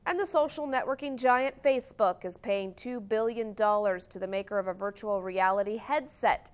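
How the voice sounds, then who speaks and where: A woman's voice: medium pitch, moderate pace, smooth. An American woman anchoring a morning newscast, reading scripted news in a TV studio.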